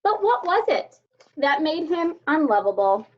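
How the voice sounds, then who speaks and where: A woman reading a children's picture book aloud as a storyteller, with expressive, deliberate delivery. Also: high voice. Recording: dull lo-fi sound.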